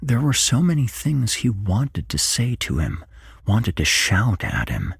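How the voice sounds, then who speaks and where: A man reading a horror story as hushed, breathy, whispered ASMR narration, close to the microphone. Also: on the low side, huge pitch swings, measured pace, no room sound.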